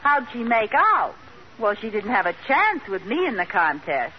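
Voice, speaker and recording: An actress playing a boastful, evasive housewife in a 1940s-style radio sitcom. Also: female voice, fairly high pitch, very animated, moderate pace. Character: brash fibbing housewife, playful and defensive. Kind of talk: 1940s radio situation comedy acting. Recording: noisy muffled old radio recording.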